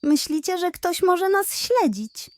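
A woman's voice: very high-pitched, expressive, takes it slowly, pitched above the performer's own voice, heavily acted. A woman voicing a shy, nervous little male bunny in a bedtime audio story.

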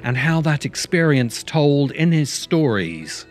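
A man's voice: on the high side, very animated, slow pace, a little mellow. A middle-aged man reading scripted documentary narration as the host. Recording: music under the voice.

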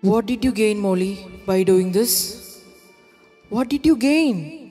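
A woman's voice: mid-range voice, expressive, slow, warm tone. A woman voicing the ghost of a mother-in-law, asking accusing questions. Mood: grieving. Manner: pleading, accusing. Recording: long reverb with music.

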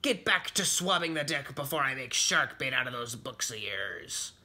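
A man's voice: medium pitch, big pitch swings, normal speed, bright, forceful tone, exaggerated voice. A man voicing a mean, bossy pirate captain rabbit for a storybook, barking out commands. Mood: demanding. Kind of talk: storybook character voice.

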